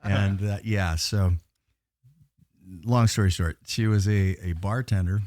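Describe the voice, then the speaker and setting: Man, deep low voice, dry close sound, rambling casual chat. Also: fairly even intonation, slow, a little mellow, no echo. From Pacific Northwest US. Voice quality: naturally low voice. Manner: rambling storyteller with many fillers. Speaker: guest singer. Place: bar.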